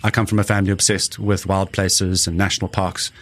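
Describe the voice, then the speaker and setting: A middle-aged man with a South African accent, telling his own story reflectively, pausing for emphasis. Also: low-pitched, little pitch movement. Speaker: conservationist and researcher, interviewee. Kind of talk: personal storytelling in an interview.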